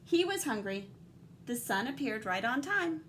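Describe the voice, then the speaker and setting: Female teacher storyteller, high voice, expressive and dramatic picture-book delivery for young kids, slight background noise. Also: unhurried. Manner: animated, dramatic emphasis, guiding and questioning. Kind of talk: expressive children's read-aloud with asides to young listeners.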